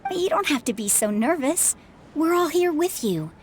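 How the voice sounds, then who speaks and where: An actress playing a seasoned retired adventurer, a calm widowed mother who speaks in a reflective, encouraging way in a video game. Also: sits high, slight background noise. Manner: reassuring, reflective, measured encouragement.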